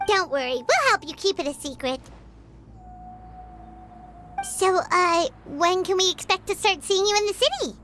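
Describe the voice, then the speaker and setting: Tiny chirpy companion sprite: very high, bouncy, eager. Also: female voice, lively intonation, measured pace, pauses often, pushed and bright, soft background music, exaggerated voice. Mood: sheepish. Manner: bubbly, eager, speaks of herself by name. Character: tiny floating girlish companion creature, chirpy. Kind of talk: video game voice acting.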